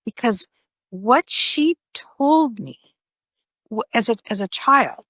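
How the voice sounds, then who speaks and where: An older woman whose first language is Greek speaks slowly, her voice sitting fairly high. She rambles in casual conversation, filling her talk with "you know." The sound is dry and close. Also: lively intonation, a bit bright, dry recording. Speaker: podcast guest, author. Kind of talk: conversational interview.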